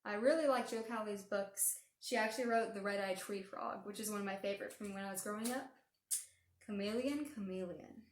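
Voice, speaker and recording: A woman hosting an interactive storytime for young children, enunciating in an animated, exaggerated way in an indoor room. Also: medium pitch, quick pace, hardly any pauses. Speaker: children's librarian, storytime host. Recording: echoey room.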